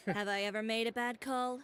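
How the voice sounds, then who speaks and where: A woman's voice: a little high, fairly even intonation, unhurried, a bit bright, a little hiss or hum. A woman voicing a proud, fiery-tempered female fashion designer in a video game, poised, cool and cutting in manner. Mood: commanding. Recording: game audio with music under it. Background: game score music.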